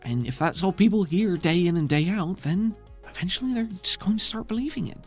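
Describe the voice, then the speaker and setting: Tired worn-out lady official, sighs a lot, creaky voice , guy doing her. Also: high voice, animated, brisk, faint music behind, pitched above the performer's own voice. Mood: weary. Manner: sighing, weary, frank. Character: tired, worried woman official, weary and blunt.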